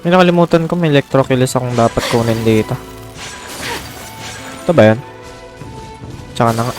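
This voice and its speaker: A man's voice: mid-range voice, fast talker. Casual Filipino man commenting over game music. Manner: offhand interjections. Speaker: game streamer.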